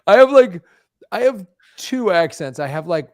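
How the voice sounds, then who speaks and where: Jokey podcast guy, voice swings up and down a lot, kinda high, very dry mic. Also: no echo. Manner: animated, joking, quick to laugh. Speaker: podcast co-host. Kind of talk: casual comedy chat.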